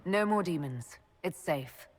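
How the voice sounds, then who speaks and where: An actress playing a composed, commanding, coolly sardonic Inquisitor in a fantasy game, with measured, pointed delivery. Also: female voice, on the low side, lively intonation, big-room sound. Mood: calm, probing. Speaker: game character voice actor. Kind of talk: cinematic fantasy game dialogue.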